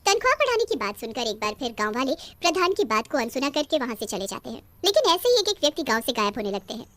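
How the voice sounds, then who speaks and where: A woman's voice: high-pitched, very animated, quick pace, a bit bright, dry recording. A woman narrating a scripted cartoon horror story as the storyteller.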